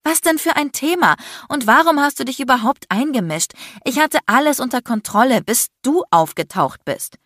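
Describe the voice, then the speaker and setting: A defiant young woman in an audiobook drama, put on by a female narrator. Her voice is pitched up, indignant and hurt, and she argues hotly in a dry, close recording. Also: very animated, normal speed, hardly any pauses, no echo, close to an everyday voice. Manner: heated, indignant, provoking. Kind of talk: dramatic audiobook character acting.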